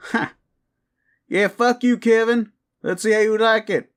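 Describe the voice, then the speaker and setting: A man's voice: sits high, steady tone, unhurried, clear, resonant tone. A man playing a petty, vengeful, foul-mouthed guy in a comic audio roleplay, indignant and blunt. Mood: gloating, spiteful.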